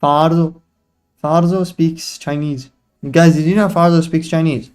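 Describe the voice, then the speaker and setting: A young man hosts a live trading stream, talking in a slightly raised pitch. He trades banter and slang with his chat, then explains setups in quick, loose bursts. Faint music plays underneath. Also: steady tone, darkish tone, clean tone. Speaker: livestream trading host. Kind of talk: casual live-stream chat and chart analysis.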